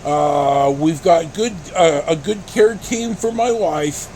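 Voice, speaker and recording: A middle-aged American man vlogging in a casual, rambling storytelling style, halting and blunt, with some mild swearing. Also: on the high side. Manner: halting, blunt, mildly profane. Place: inside a pickup truck on the road. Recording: steady vehicle cabin noise.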